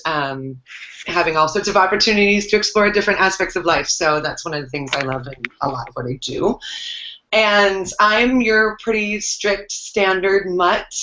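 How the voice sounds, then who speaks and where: A woman's voice: fairly low pitch, bright, forceful tone. A woman on a panel, giving a rambling, reflective introduction full of fillers. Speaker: panel guest. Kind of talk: casual self-introduction on a video call. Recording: echoey room, dull lo-fi sound.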